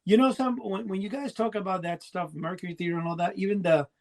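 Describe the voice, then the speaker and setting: A man's voice: high voice, fairly even intonation, quick pace. A middle-aged or older man co-hosting a podcast, talking in a rambling, conversational way. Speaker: podcast co-host. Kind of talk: casual panel chat.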